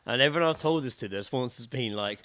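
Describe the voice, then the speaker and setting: A man's voice: medium pitch, fast talker, no echo. A man co-hosting a podcast in casual, sweary banter, acting out what people said as he tells anecdotes. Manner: sweary, animated retelling with acted-out quotes. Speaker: podcast co-host.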